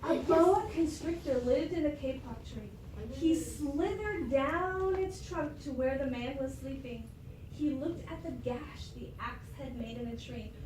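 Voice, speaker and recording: High-voiced woman teacher reading aloud. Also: unhurried, a little hiss or hum. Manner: expressive storytelling with classroom prompts. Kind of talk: interactive read-aloud to a class. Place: classroom. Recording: echoey classroom from far off.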